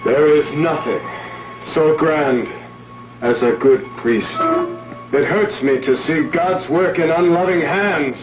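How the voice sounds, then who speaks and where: An actor playing a vain, flirtatious film star with a theatrical delivery in a 1970s radio drama. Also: male voice, fairly high pitch, slow pace, echoey room. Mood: anguished declaiming. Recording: muffled 1970s radio broadcast with music.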